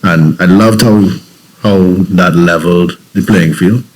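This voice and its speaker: A man with a Trinidadian accent and a very low voice speaks as an interview guest. He tells his story in a reflective, earnest way, often restarting his sentences as he thinks aloud. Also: a little slow, low-quality recording. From Trinidad and Tobago.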